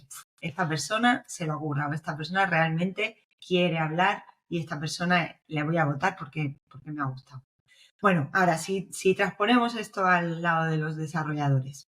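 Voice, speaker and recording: A young woman from Spain with a Spanish accent, explaining her views as a guest in a casual podcast interview and often checking in with her listener. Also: low voice. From Navarre, Spain. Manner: explanatory, checks in with frequent tag questions. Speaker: guest, developer advocate.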